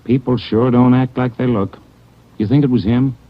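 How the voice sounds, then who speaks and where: A man's voice: medium pitch, steady tone, close to an everyday voice. An actor playing a nervous, defensive hotel clerk who keeps pleading his innocence, in a 1940s radio drama. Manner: jittery, pleading, quick to protest innocence. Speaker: hotel manager. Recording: dull 1940s radio broadcast.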